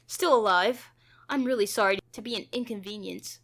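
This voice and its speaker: A woman's voice: mid-range voice, moderate pace, a bit bright. A woman playing a polite, apologetic man riding a train in a visual novel, in a sheepish, courteous manner. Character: polite, apologetic adult male train passenger in a suit.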